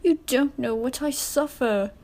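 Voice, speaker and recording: A moaning male steam engine performed by a woman, whiny and full of self-pity. It is a storybook character who complains in a slightly high voice. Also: a little slow, a little mellow.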